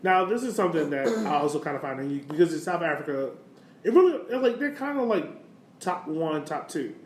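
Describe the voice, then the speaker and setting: High-voiced, animated man on a podcast. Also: fairly quick. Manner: informal, emphatic. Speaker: podcast co-host. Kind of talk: casual sports podcast chat. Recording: roomy echo, faint background noise.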